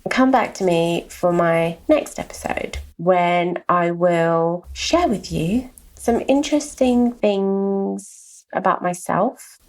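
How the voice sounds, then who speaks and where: A middle-aged woman from London with a softened London accent, hosting a reflective solo podcast and repeating words for emphasis. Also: fairly low pitch, vocal fry. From London, England. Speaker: podcast host.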